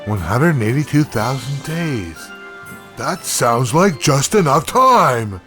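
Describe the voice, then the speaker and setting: A man's voice: medium pitch, big pitch swings, slow pace, a bit bright, faint music behind. A man playing a jolly, stubborn old Santa Claus in a comedy audio drama, with a grand, theatrical manner. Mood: jolly resolve.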